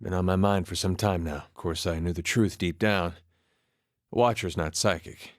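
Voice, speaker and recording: Male actor as wry farmer-soldier, fairly deep voice, understated folksy drawl, quietly wounded, video game character, very dry recording. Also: steady tone, normal speed, dry recording, natural voice. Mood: hesitant, wistful. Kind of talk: performed game dialogue.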